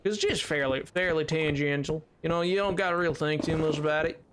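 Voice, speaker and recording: A man's voice: fairly high pitch, brisk, noisy background. A man with a Southern-style American drawl, chatting casually and ramblingly with viewers as a livestreamer. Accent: Southern-style US drawl, put on. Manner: rambling, hesitant explanations. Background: music.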